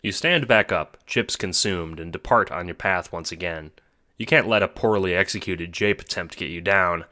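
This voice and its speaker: A man's voice: deep voice, normal speed, pushed and bright. A man narrating a scripted second-person story for an audio drama.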